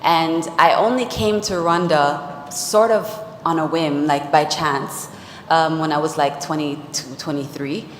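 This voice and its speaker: A woman's voice: sits low, steady tone, unhurried. A woman, a vlogger and DJ, speaking openly and personally on a panel with many fillers. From Jamaica. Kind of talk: panel discussion. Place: large conference hall on stage. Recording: echoey hall with faint noise.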